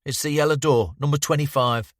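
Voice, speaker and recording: A man's voice: fairly high pitch, a little fast, no room sound, clean studio sound, voice pushed up, natural voice. A man reading aloud, voicing a menacing sixteen-year-old boy who is curt and swears. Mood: coaxing.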